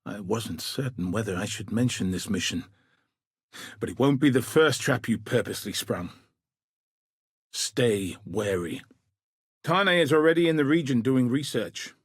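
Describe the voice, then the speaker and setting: An actor playing a gruff, dutiful military commander in a video game, with a husky, rough-edged voice and a grave, dry, clipped delivery. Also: male voice, medium pitch, expressive, a little slow, pauses often, no echo. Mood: dry, businesslike. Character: gruff, dutiful male military commander sending a warrior into danger. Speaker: video game character, quest giver. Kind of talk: scripted video game voice acting.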